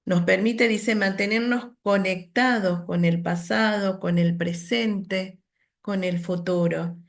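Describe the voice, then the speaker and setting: A woman's voice: mid-range voice, fairly even intonation, slow pace. A woman from Argentina, leading a workshop and telling a family story in a reflective, storytelling manner. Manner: reflective, storytelling, many "¿no?" tags. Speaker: workshop leader. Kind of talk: online workshop presentation.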